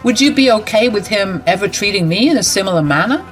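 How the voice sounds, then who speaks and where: Lady doing a protective young bride, higher voice, firm and indignant, faint music behind. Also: expressive, fast talker, lightly acted.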